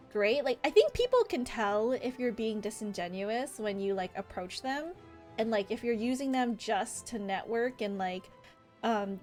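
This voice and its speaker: A woman livestreaming a game, chatting casually in an animated, informal way. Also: a little high. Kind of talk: casual chat while streaming a game. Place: indoor livestream setup with game music behind.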